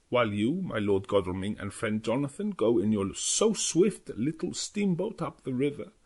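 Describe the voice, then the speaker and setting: A man's voice: mid-range voice, breathy edge, heavily acted. A man voicing an earnest old professor with solemn, foreign-sounding phrasing, in an audiobook. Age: older. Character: old Dutch professor, earnest, grave, kindly vampire hunter.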